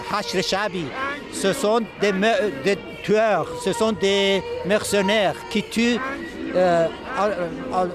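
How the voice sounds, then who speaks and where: A man at an outdoor protest speaking haltingly in a street interview, with many pauses and fillers. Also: high voice. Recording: crowd and music behind.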